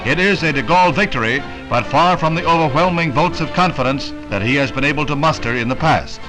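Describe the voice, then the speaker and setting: 1960s newsreel announcer, loud music behind. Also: male voice, a little high, expressive, poor audio. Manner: brisk, emphatic announcer delivery. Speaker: newsreel narrator. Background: loud music score.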